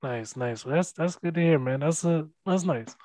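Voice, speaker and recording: A man's voice: on the high side, moderate pace, warm tone, no room sound. A man hosting a podcast, interviewing a comedian guest with many ums and uhs. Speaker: podcast host.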